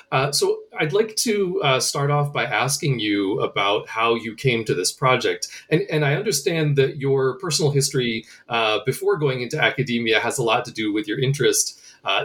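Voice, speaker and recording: A man's voice: on the low side, a bit bright. A man hosting a podcast interview, reading a prepared book summary with occasional fillers. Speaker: podcast host.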